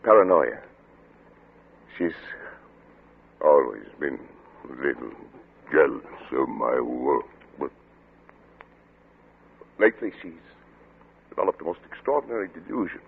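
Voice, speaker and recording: A man acting an obsessed, agitated scientist in a 1940s radio suspense play, with intense, halting delivery and a gravelly voice. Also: extremely low pitch, animated, heavily acted. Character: obsessed, agitated scientist doctor husband turning slyly deceitful. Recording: noisy, narrow-band old radio recording.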